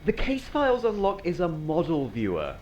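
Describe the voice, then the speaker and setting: Man playing an earnest, animated game nerd. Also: on the high side, normal speed, slight background noise. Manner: pedantic, emphatic, indignant when doubted. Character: earnest, easily exasperated video game trivia nerd. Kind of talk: comedic dramatized dialogue.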